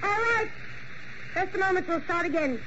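An actress playing a commanding, agitated ballet mistress in a 1940s radio drama. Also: female voice, extremely high pitch, animated, normal speed. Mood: exasperated. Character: imperious ballet mistress, middle-aged woman. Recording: muffled old radio broadcast with heavy hiss.

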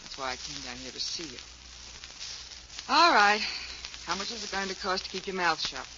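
A woman's voice: fairly low pitch, big pitch swings, moderate pace. An actress playing a brash, determined young showgirl in 1940s radio drama, blunt and tough-talking. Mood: bitter, determined. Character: brash, hard-edged young female showgirl, desperate and determined. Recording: muffled, hissy 1940s radio recording.

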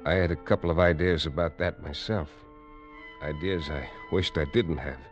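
An actor playing a hard-boiled American adventurer who narrates wryly in first person in a 1940s radio drama. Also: male voice, extremely low pitch, normal speed, highs cut off, soft background music. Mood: brooding. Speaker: narrator and lead.

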